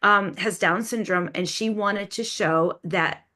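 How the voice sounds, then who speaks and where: A woman's voice: mid-range voice, slow pace, bright, forceful tone. A woman speaking casually as a panel guest, rambling and often interrupting herself. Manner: rambling, self-interrupting, conversational. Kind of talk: casual chat.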